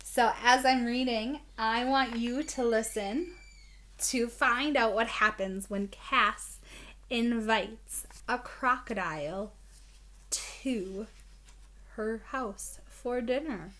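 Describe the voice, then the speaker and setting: A woman's voice: a little high, slow, a bit bright, slight background noise, rough recording. A woman teacher introducing a read-aloud for young children, explaining things simply and asking the listener questions. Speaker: elementary school teacher.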